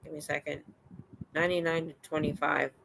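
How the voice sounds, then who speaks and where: An older American woman hosting a livestream, chatting in a rambling, excitable way. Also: sits low, fast talker, gravelly, slight background noise, rough recording. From United States. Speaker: livestream host. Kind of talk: casual chat. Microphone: streaming setup.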